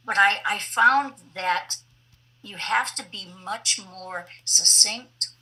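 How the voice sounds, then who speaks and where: A woman giving deliberate, explanatory interview answers as a theatre director on a video call, thinking aloud in short phrases. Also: lowish voice, bright, forceful tone, poor audio.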